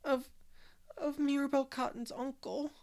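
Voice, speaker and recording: A woman's voice: a little high, moderate pace, a little mellow, pitched above the performer's own voice, close to an everyday voice. A woman voicing a timid little girl who stammers and sobs as she reads an audiobook. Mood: frightened. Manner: sobbing, stammering. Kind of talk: audiobook character voice.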